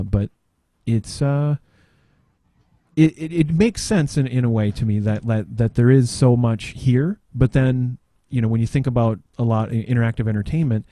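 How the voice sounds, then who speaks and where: Really mellow dark-voiced guy, halting casual podcast chat, dry close sound. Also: mid-range voice, dry recording. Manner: hesitant, halting, searching for words. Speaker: podcast panelist.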